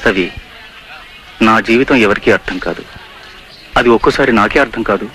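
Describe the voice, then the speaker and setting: A man's voice: lowish voice, normal speed, pushed and bright. An actor playing a world-weary, disillusioned man philosophising to a friend in a film drama. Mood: weary, brooding.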